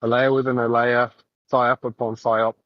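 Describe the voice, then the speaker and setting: A man from New Zealand with a New Zealand accent, chatting casually on a voice call while walking outdoors, halting and full of fillers. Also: mid-range voice, level tone, no echo, highs cut off. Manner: rambling, halting, many fillers.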